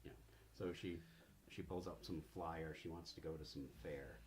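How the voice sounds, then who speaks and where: A man improvising narration as game master in a halting, conversational way. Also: a bass voice, fairly even intonation, a little hiss or hum. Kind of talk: improvised narration of a tabletop role-playing game.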